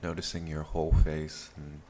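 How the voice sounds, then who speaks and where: Male meditation guide, low-pitched and unhurried, calm and gentle, over a steady rain backtrack. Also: slight background noise. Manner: calm, soothing, gentle phrasing with long pauses. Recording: steady rain sound behind the voice.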